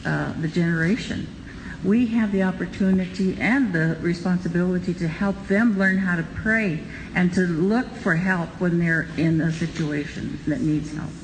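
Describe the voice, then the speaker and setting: A Bible study member making a halting comment full of fillers. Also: mid-range voice. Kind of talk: group discussion comment. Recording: distant from mic in echoey room with noise.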